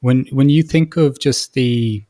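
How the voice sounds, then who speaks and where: A man hosting a reflective interview, speaking in a halting way and often rephrasing as he searches for words. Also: mid-range voice, dark tone. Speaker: podcast host.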